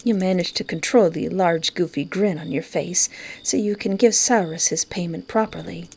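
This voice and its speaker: A woman's voice: fairly low pitch, moderate pace, vocal fry, a little mellow, slightly rough. A woman reading a visual novel aloud expressively, with playful, giggly reactions. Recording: music behind. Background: game music.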